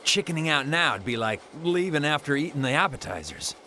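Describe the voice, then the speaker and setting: An actor playing an excitable, self-important comic sidekick in a video game, with a theatrical delivery. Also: male voice, fairly high pitch, fairly quick, a little hiss or hum. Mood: excited, indignant. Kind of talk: theatrical game cutscene acting.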